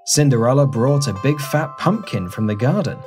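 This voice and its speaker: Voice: mid-range voice, very animated, moderate pace, a little mellow, soft background music. A narrator reading a scripted fairy tale for children in storybook style.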